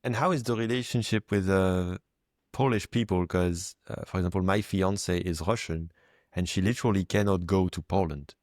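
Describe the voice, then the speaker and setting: A man's voice: low voice, moderate pace, darkish tone, no echo, clean studio sound. A man hosting a podcast, chatting casually and rambling with fillers. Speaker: podcast host. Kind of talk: casual interview chat.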